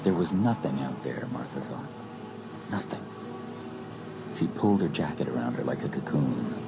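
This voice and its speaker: A man narrating a dramatised horror audiobook in a measured storytelling manner. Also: extremely low pitch, expressive, very noisy.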